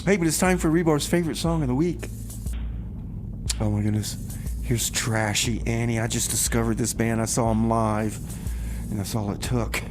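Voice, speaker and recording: Male radio host, average pitch, conversational and loosely rambling comic patter, slight noise in a studio. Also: moderate pace. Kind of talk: casual radio music show chat with comedy bits.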